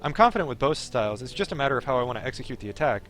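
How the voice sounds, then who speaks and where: A man's voice: mid-range voice, very animated, rapid-fire, a bit bright, soft background music. A man streaming a game, reading its narration aloud expressively and dropping into casual offhand commentary. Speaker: streamer playing a visual novel.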